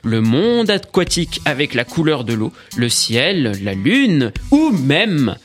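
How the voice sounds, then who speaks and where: A man's voice: on the high side, very animated, slow pace. A man presenting a tech segment on a radio show in a chatty, joking manner. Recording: faint music behind.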